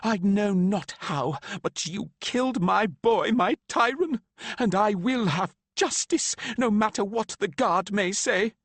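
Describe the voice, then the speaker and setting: A man's voice: sits high, lively intonation, slow, dry recording, pitched above the performer's own voice, full character voice. A man voicing a grieving mother in mourning who harshly accuses and snarls at a rider. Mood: furious. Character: grief-crazed mother in deep mourning, furious.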